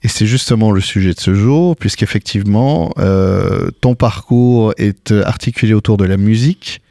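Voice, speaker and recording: A man's voice: on the low side, normal speed, gravelly, warm tone, no echo, studio quality. A male radio host running a morning interview in a friendly way, with many fillers. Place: radio studio.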